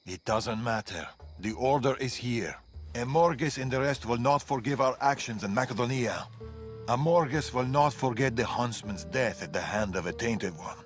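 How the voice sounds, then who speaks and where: A man's voice: medium pitch, moderate pace, soft background music, close to an everyday voice. A man playing a grave, wary older warrior and father of ancient Greece in a dramatic video game. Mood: ominous, wary.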